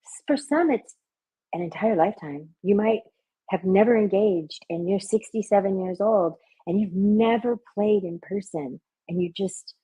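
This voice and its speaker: A woman with a gentle, soothing voice and a breathy, husky edge explains her hypnosis work in relaxed interview talk. Her pitch sits in an ordinary middle range for a woman. She laughs easily and sometimes sinks into a hushed, low murmur. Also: moderate pace, poor audio. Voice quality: soft, soothing. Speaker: hypnotist and party performer. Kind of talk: conversational interview answers.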